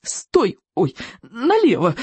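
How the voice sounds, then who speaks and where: A narrator voicing an anxious young Viking boy, groaning and straining to shout quietly in an audiobook story. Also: sits high, drawn-out words, gravelly, dry recording, voice pushed up, full character voice. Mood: desperate. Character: anxious young Viking boy pleading with his unruly dragon.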